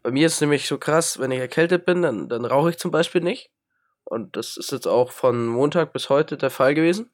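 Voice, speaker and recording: A man's voice: fairly high pitch, fairly quick. A young man with a cold, chatting casually as a podcast co-host, rambling and self-mocking with lots of hesitations. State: has a cold. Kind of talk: casual chat.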